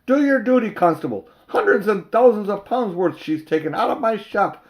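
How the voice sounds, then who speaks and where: A man voicing an indignant fat London shopkeeper in a storybook, complaining loudly in an aggrieved, accusing way. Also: high-pitched, lively intonation, moderate pace, pitched above the performer's own voice.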